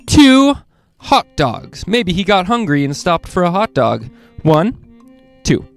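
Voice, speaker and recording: A man hosting a children's read-along, reading picture books aloud in an animated way and speaking directly to young listeners. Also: high-pitched, takes it slowly, faint music behind.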